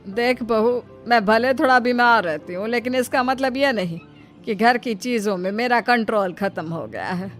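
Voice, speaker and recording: A woman's voice: fairly high pitch, normal speed, faint music behind, close to an everyday voice. A woman voicing a possessive, ailing old mother-in-law who complains theatrically in a cartoon family drama. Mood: possessive, stern.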